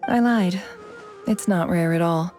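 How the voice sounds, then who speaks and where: An actress playing a cool, calculating young woman in a video game, a scholarly envoy who speaks with measured, polite persuasion. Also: on the low side, darkish tone, soft background music, natural voice. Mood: casual, evasive.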